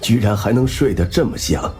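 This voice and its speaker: An actor playing a soft-spoken, quietly menacing middle-aged spymaster in a wheelchair in an audio drama. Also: male voice, lowish voice, expressive, moderate pace, natural voice. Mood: fond, sinister.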